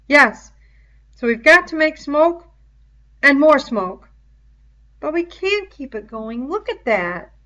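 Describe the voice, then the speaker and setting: A woman's voice: sits high, lively intonation, takes it slowly, pauses often, bright, forceful tone, pitched above the performer's own voice, exaggerated voice. A woman voicing a worried, impatient schoolboy leader with a terse, commanding manner in a novel read-aloud. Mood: urgent, worried.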